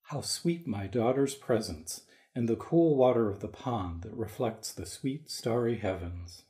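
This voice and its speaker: A man playing a weary, kingly sun god and gentle father in an audio drama, speaking slowly, tired and solemn. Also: mid-range voice, animated, lightly acted. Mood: weary, wistful. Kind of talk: dramatic reading like a radio play.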